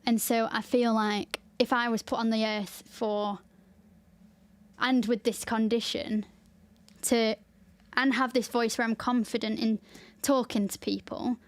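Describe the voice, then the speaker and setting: A woman's voice: on the high side, little pitch movement, measured pace. A woman with a Lancashire accent, hosting a podcast in open, chatty talk and laughing easily. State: tired after a 24-hour stream. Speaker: podcast host. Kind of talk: casual chat.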